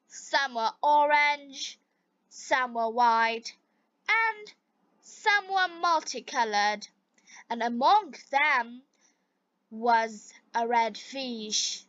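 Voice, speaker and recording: A woman narrating a scripted story for language learners in a deliberate, carefully enunciated way. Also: fairly high pitch, fairly bright tone, no room sound, dull audio. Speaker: narrator of English-learning stories.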